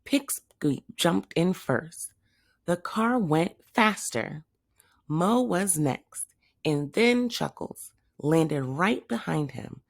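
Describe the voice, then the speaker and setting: A woman reading a children's story aloud as a teacher would, with expressive narration. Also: fairly low pitch, very slow, gravelly, dry recording, clean studio sound.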